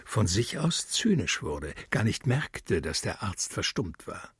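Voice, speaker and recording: A man with an extremely husky, breathy voice narrates a literary radio drama. He speaks very slowly and gravely, with lively rises and falls, recorded dry and close. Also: lowish voice, no echo.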